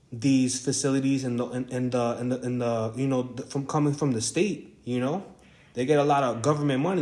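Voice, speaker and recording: A man speaking casually as an interviewer in a phone conversation, halting and repeating himself as he rambles through his points. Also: mid-range voice, darkish tone.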